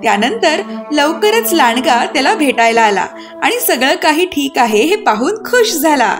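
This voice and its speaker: A woman narrating a scripted children's fairy tale as an expressive storyteller. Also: sits high, unhurried. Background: music.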